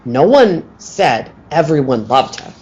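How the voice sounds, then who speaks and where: A woman playing a blunt, defensive, impatient circus woman in an old-time radio play, with a husky voice. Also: deep voice, sing-song, slow pace, darkish tone, slight background noise, heavily acted, rough recording.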